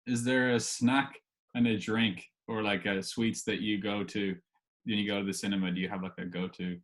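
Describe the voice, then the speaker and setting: A man's voice: lowish voice, fairly even intonation, moderate pace, fairly bright tone. A man hosting a casual interview, putting informal questions in a tentative, hesitant way. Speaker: podcast host, interviewer.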